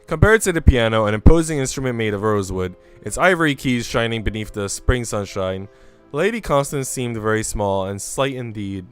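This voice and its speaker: A man with a smooth, clear voice, livestreaming as he reads a visual novel aloud and chats casually. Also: medium pitch, lively intonation, moderate pace, soft background music. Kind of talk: livestream narration and casual chat.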